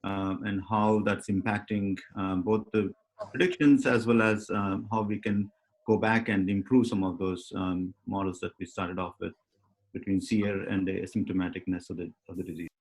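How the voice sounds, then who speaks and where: Man, panel moderator, low voice, hesitant filler-heavy questions. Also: fairly even intonation, normal speed, poor audio.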